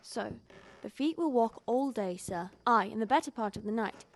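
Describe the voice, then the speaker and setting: A woman's voice: a little high, expressive, moderate pace. A young woman playing a loyal, frightened longtime household servant in a Victorian audio drama, earnest and deferential, telling things with emphasis. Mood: haunted, low. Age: young adult.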